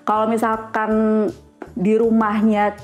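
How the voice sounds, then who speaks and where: A woman's voice: a little high, monotone, slow, highs cut off, soft background music. A woman in her thirties from West Java with a light Sundanese accent, an English tutor chatting casually and explaining things with lots of fillers. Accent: Sundanese-tinged Indonesian. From West Java, Indonesia. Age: 30s. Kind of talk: casual interview chat.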